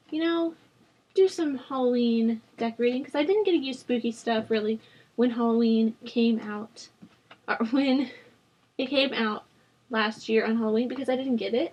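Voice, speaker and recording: Chatty young woman, rough sore throat. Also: a little high, darkish tone. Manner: rambling, chatty, with frequent fillers. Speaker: Sims builder channel host. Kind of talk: casual gameplay voice-over. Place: at home.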